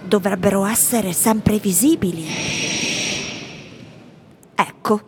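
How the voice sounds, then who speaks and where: An Italian-accented actress playing opinionated foreign tourists giving their impressions in a scripted radio theatre piece. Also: female voice, medium pitch, slow pace, noisy background. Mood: annoyed. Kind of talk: scripted dramatic monologue of interview answers.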